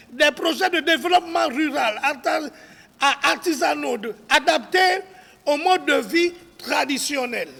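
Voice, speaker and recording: Man, bright forceful tone, gravelly creak, solemn declaimed reading, formal public statement, occasional stumbles. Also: very high voice. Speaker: civil society spokesman. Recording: long reverberation of a hall.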